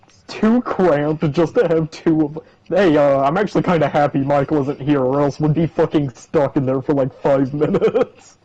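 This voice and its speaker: A man's voice: fairly high pitch, moderate pace, dark tone. A young man in casual, sweary, joking banter while playing a game over voice chat. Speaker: co-op gaming partner. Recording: dull voice chat audio.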